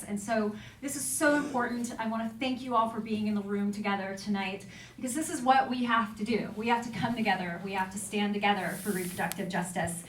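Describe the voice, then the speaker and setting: A woman hosting a live event as emcee, addressing the audience informally and with effusive enthusiasm into a stage microphone. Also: mid-range voice. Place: indoor event room with an audience. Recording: echoey room, distant mic, faint noise.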